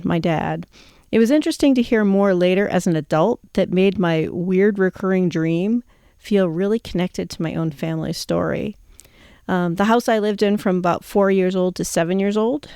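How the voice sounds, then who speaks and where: A woman's voice: mid-range voice, a little mellow, no room sound. Woman in her fifties, close dry sound. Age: 50s. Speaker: show host. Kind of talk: personal storytelling to listeners.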